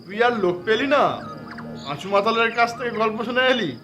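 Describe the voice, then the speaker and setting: A man's voice: very high-pitched, brisk, bright, forceful tone, faint music behind. A man voicing a bold, sceptical young villager in a cartoon, scoffing and teasing. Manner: scoffing, mocking. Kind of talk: cartoon voice acting.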